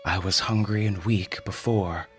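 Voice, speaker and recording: A man voicing a loyal, simple-minded male dog, speaking in a plain, quiet way. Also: deep voice, steady tone, measured pace, soft background music. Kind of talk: storybook reading.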